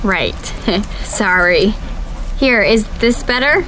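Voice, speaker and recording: An actress playing an earnest young female government video analyst who speaks with calm customer-service politeness. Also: medium pitch, slow, low-quality recording. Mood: uneasy. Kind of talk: audio drama acting.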